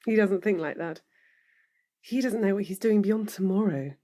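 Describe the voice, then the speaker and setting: Woman playing an earnest, breathy, lovelorn woman. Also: mid-range voice, normal speed, warm tone, lightly acted. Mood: yearning. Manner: earnest, intimate delivery. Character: earnest, lovelorn woman pleading for her love. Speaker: actor. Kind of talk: audio drama acting.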